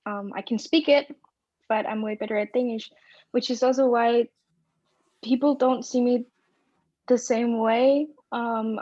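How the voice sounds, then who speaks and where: Slow, earnest young Greenlandic woman. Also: on the high side, a little mellow, no room sound, lo-fi sound. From South Greenland. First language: Kalaallisut. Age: young adult. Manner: earnest, hesitant, many fillers. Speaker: youth panelist. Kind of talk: online panel discussion. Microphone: video call.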